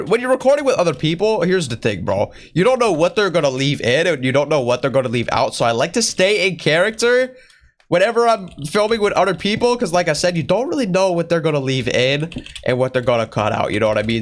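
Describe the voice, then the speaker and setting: Strained, raised-voice man chatting casually. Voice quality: strained put-on higher voice, imitating another YouTuber. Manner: slangy bro-talk, rambling. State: voice sore from straining. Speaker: YouTuber answering viewer questions. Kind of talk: casual Q&A vlog chat in character.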